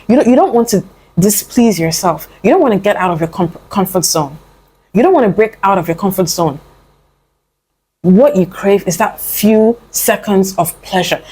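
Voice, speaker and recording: A woman's voice: mid-range voice, darkish tone, breathy edge, rough recording. Young adult woman, motivational vlogger; coaxing, sisterly, loose and rambling delivery. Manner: earnest, coaxing, calls the listener "my love" and "honey"; rambling with frequent fillers and restarts. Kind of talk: casual motivational talk to camera.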